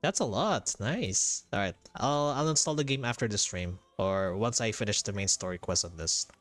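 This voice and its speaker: Lowish-voiced man streaming a game. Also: animated, normal speed, no echo. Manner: chatty, repeats himself. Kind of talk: casual live gameplay commentary. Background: soft game music.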